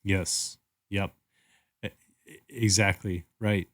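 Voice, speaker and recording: Deep-voiced man in casual discussion. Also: steady tone, takes it slowly, fairly bright tone, no echo, studio quality. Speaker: podcast co-host. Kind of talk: casual chat.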